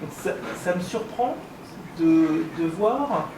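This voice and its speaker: A man making critical, analytical points in a discussion, with academic wording and halting phrasing. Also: high-pitched, expressive. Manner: hesitant phrasing, articulate, academic vocabulary. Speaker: discussion participant, longtime game observer. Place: convention room. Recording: echoey room, distant mic, background noise.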